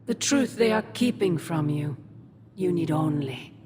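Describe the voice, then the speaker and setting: Sinister, breathy demonic mother. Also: deep voice, expressive, measured pace, dark tone, a little hiss or hum. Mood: menacing tenderness. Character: sinister demonic mother, possessive, seductively tender. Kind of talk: dramatic game cutscene acting. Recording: music behind the voice.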